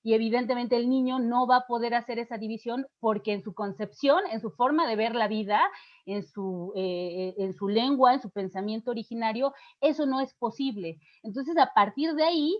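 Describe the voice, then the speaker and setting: A woman's voice: a little high, normal speed. Mexican woman teacher lecturing, muffled. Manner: explanatory, poses questions and answers them, frequent fillers and restarts. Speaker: literature teacher giving a lecture. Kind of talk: academic lecture to students.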